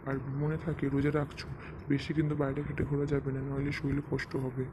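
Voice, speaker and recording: A man voicing a gentle, devout father in cartoon dialogue, with some noise in the recording. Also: mid-range voice, monotone, fast, natural voice. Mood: reassuring. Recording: faint background noise.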